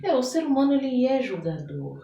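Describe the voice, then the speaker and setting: A Brazilian woman chatting as a podcast guest in an expressive, reflective and emphatic way. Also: high-pitched, brisk, gravelly, lo-fi sound. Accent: Brazilian Portuguese. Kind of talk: casual podcast conversation. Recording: echoey room, distant microphone.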